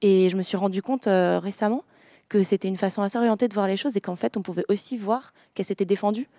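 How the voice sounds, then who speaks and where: A woman's voice: medium pitch, steady tone, fast talker, warm tone, no echo, studio quality. A young woman from France, an author answering interview questions with many hesitations and restarts. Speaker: author guest. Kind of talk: interview conversation.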